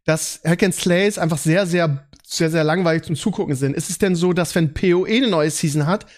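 A man's voice: on the high side, fairly quick. A man hosting a casual gaming podcast, colloquial and teasing, often putting questions to his co-hosts. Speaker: podcast host.

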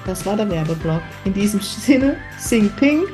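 Female podcast host from southwest Germany, mid-pitched, chatty and effusive, casual spontaneous delivery. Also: animated, moderate pace, faint music behind. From Kurpfalz, southwest Germany. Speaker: podcast host, spiritual medium.

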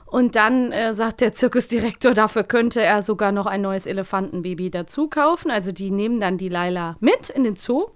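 A woman chatting casually and humorously as a podcast co-host, retelling things in an animated way. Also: mid-range voice, a little fast, hardly any pauses. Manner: animated retelling with acted-out quotes.

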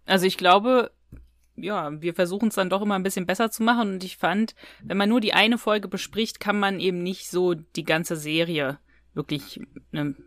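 Dry-recorded woman, medium-pitched casual talk. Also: steady tone, normal speed, dry recording. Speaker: podcast co-host. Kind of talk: casual podcast chat.

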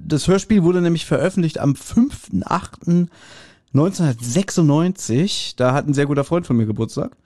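Male podcast co-host, fairly high register, informal and joking chat, very dry room sound. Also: mellow, no echo. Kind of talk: casual chat, reviewing an audio drama episode.

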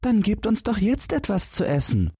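A man's voice: high-pitched, huge pitch swings, normal speed, mellow, husky, dry recording, clean studio sound, voice pushed up, full character voice. A man voicing a brave, cheeky little girl in a fairy tale, plucky and determined. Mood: bold, pleading.

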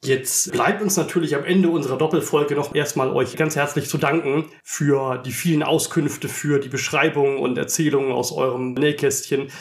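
A man's voice: a little high, steady tone, normal speed, hardly any pauses. A man co-hosting a podcast in a conversational style. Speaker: podcast co-host.

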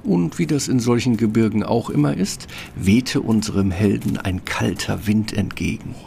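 A man's voice: fairly low pitch, moderate pace, vocal fry, dark tone, slight background noise. A man narrating a scripted fairy tale as its storyteller.

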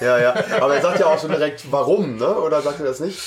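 A man co-hosting a podcast in casual, colloquial chat, jokey and quick to interject. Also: fairly high pitch, a loud crowd. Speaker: podcast host. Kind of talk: casual chat discussing an audio drama.

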